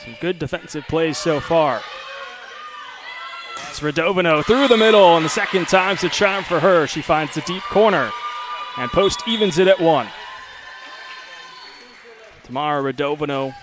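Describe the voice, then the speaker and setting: A man calling a college volleyball match as play-by-play announcer, giving lineups and background in a steady, informative way. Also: a little high, moderate pace, long pauses. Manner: steady informative delivery with occasional stumbles over names. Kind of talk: live sports commentary.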